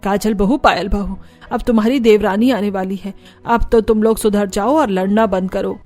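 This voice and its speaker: A woman's voice: mid-range voice, fairly even intonation, moderate pace, darkish tone, close to an everyday voice. Woman playing a bossy cartoon mother-in-law. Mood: chiding. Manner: stern, exasperated, commanding. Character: bossy, scolding middle-aged mother-in-law. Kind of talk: animated family story dialogue.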